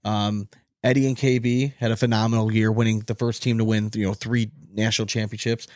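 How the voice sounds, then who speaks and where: A man's voice: fairly low pitch, level tone, fairly quick, a little mellow, no room sound, clean studio sound. A man hosting a sports podcast in casual conversation, asking long, rambling questions. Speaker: podcast host.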